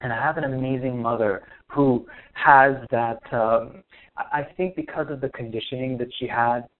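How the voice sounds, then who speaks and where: A man from Lebanon, a spiritual teacher guest, answering in a soft, reflective, hesitant way. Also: medium pitch, steady tone, moderate pace. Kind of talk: interview answers. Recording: thin phone-like line.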